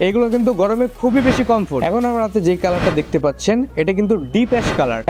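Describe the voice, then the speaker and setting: Fast, high-pitched Bangladeshi man presenting products. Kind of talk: scripted promotional product showcase.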